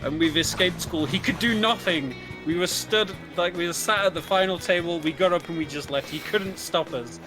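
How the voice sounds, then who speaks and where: A man's voice: fairly high pitch, moderate pace, few pauses, music in the background, rough recording. A man giving casual, chatty co-commentary on a video game speedrun.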